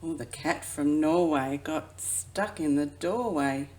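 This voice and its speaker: A woman who works as a children's educator reads a picture book aloud expressively, drawing out phrases and dropping into hushed asides. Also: deep voice, slow pace, slight background noise. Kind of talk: children's storybook read-aloud.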